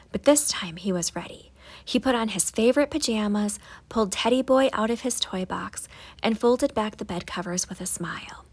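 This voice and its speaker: A woman with a soft-edged, breathy voice narrates a children's bedtime story. Her pitch sits in a medium range, and she draws phrases out dramatically for young listeners. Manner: dramatic, drawn-out storybook delivery. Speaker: storyteller reading a children's book.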